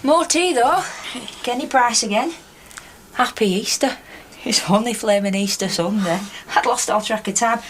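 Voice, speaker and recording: An actress playing a bold, fearless miner's wife with a Northern English accent, forthright in a naturalistic radio drama. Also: female voice, fairly high pitch, normal speed, lightly acted. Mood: brisk, friendly. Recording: clear background noise.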